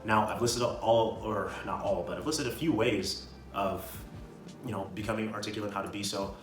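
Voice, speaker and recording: A man's voice: lowish voice, normal speed. A man giving casual motivational advice as a video creator, with an emphatic, repetitive, slangy way of talking. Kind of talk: casual motivational talk to camera. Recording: roomy echo, distant mic, music behind. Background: music.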